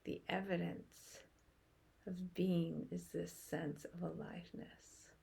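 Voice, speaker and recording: A woman leading a guided meditation in a soft, hushed voice that trails toward a whisper, with long pauses between short phrases. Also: fairly low pitch, steady tone, gravelly, breathy edge.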